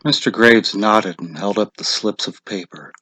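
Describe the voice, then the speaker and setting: A man's voice: on the low side, moderate pace, sharp tone, slightly rough, no room sound. A man narrating a short story as a scripted dramatic reading.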